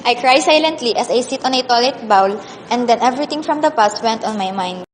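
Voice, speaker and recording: A woman playing an earnest, high-voiced grieving teenage girl. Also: lively intonation, a little fast, close to an everyday voice. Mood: sorrowful. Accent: Filipino. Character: orphaned teenage scholarship girl, grieving, bullied, defiant. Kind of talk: amateur radio drama, first-person emotional narration. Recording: reverberant hall, audible background hiss.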